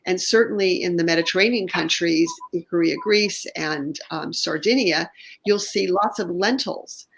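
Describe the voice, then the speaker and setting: A woman's voice: fairly low pitch, moderate pace, gravelly, husky, low-quality recording. A woman hosting an online interview show, chatty and enthusiastic, restarting her sentences often. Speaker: show host.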